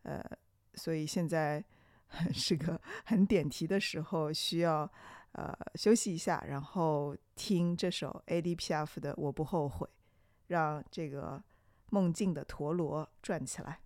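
A podcast host talks reflectively and conversationally about film, musing hesitantly with drawn-out pauses. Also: medium pitch, darkish tone, dry recording, clean studio sound.

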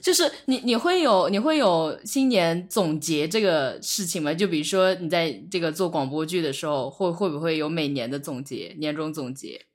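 A woman in a casual podcast chat, speaking haltingly and repeating words as she goes. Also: sits low, expressive.

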